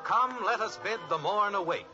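A man's voice: high-pitched, normal speed. A man with an American accent narrating as a 1950s radio announcer in a theatrical, polished style. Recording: muffled vintage radio recording. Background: music.

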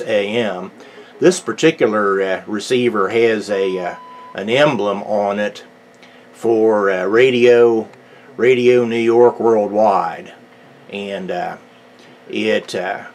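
A middle-aged man giving an unscripted equipment demonstration, halting and hesitant, with very frequent ums and pauses. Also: fairly low pitch, a little hiss or hum. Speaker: radio collector demonstrating a receiver. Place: indoors at a radio bench.

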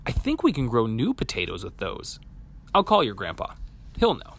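A man's voice: medium pitch, wildly swooping pitch, moderate pace, vocal fry, fairly bright tone, heavily acted. A man voicing a patient, encouraging, hopeful father in a storybook, reassuring and upbeat.

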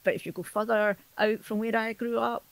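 A woman's voice: fairly high pitch, little pitch movement, brisk, gravelly, no room sound. Chatty middle-aged Scottish woman, dry sound. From Scotland. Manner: chatty, laughs between remarks. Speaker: storyteller guest. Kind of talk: casual podcast conversation.

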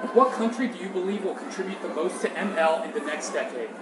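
A man's voice: sits high, animated, fast talker, loud music behind the voice. Echoey male interviewer outdoors. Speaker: interviewer, host. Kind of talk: scripted quick-fire questions. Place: outdoor city walkway. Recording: echoey, noisy outdoor ambience.

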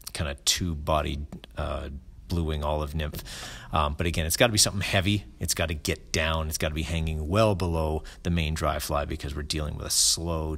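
A middle-aged man talks through his fishing gear in a very low, deep voice. He speaks in a relaxed, casual way, often pausing with ums and restarting his sentences. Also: gravelly. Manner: relaxed, many fillers and restarts. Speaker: fly fishing channel host. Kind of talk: casual gear explainer voice-over.

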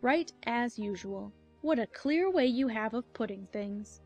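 A woman acts out a storybook duchess, affected and sententious. She gushes in a fairly high voice, with soft music behind her. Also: expressive, measured pace, muffled recording. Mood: smugly moralizing. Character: gushing, moralizing, clingy Duchess. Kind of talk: dramatized audiobook acting.

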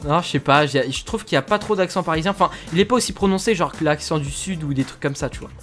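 Chatty French gamer, laughing often. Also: male voice, on the high side, fast talker, soft background music. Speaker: gaming youtuber. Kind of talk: casual gaming commentary.

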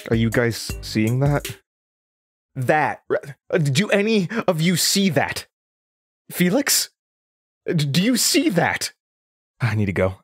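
A man's voice: on the high side, exaggerated voice. An actor playing a nervous, confused young man under interrogation, stammering and hesitant in a naturalistic audio drama. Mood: shaken, distracted.

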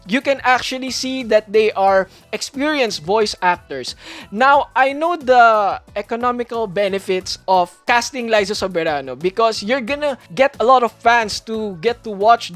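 A man's voice: high voice, fairly bright tone. Earnest young Filipino man on a video call. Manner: frank, earnest critique. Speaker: co-host reviewer. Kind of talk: casual review chat. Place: home room, video call.

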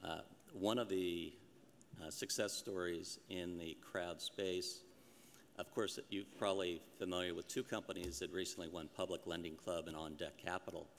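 A man moderating a conference panel, putting measured questions to the speakers. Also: deep voice, fairly even intonation. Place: large conference hall, at a lectern. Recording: hall reverb, faint room noise.

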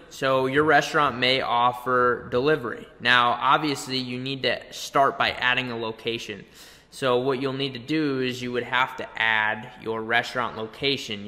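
Tutorial presenter: young male, mid pitch, crisp bright edge, conversational and explanatory, long room reverb. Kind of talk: conversational screen-share tutorial. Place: indoor room, webcam beside screen capture.

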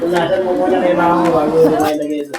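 A man's voice: on the high side, flat delivery, rapid-fire. A man with a Filipino accent making casual remarks while he works on repairs. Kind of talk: casual chat while working. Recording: echoey space, loud music over the voice. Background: loud music.

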